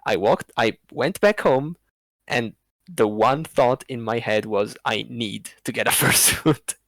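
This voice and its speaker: A man as a podcast guest, telling a story in a rambling, animated way with laughs between phrases. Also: mid-range voice, measured pace, no echo. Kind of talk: casual podcast chat.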